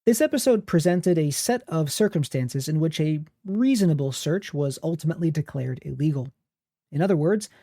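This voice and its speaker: Dry, mellow male history narrator. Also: fairly high pitch, no room sound. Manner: steady, formal. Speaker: podcast host.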